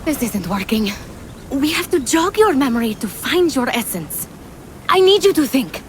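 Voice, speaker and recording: A woman's voice: high-pitched, very animated, moderate pace, a little hiss or hum. A woman voicing a wry, eager game hero. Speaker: game protagonist. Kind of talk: video game dialogue. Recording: game audio with music underneath.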